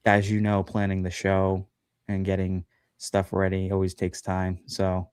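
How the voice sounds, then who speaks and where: A man's voice: sits low, monotone, a little slow, darkish tone, smooth, dry recording. A man chatting as a guest on a livestream podcast, talking loosely with lots of fillers and self-deprecating asides. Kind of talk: casual chat on a livestream.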